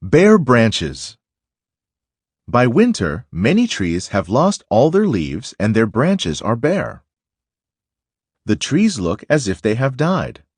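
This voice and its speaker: A man narrating a children's nonfiction book aloud in a deliberate, instructive way. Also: medium pitch, huge pitch swings, breathy edge, no room sound.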